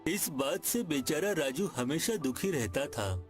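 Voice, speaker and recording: A man's voice: fairly high pitch, big pitch swings, a little slow, soft background music. A man narrating a scripted children's story.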